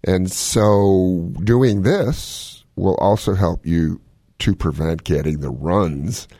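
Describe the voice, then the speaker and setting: A man's voice: deep voice, slow pace, dark tone, dry recording, clean studio sound. A man hosting a radio talk show, giving casual, folksy health advice with plenty of joking. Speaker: medical expert radio host.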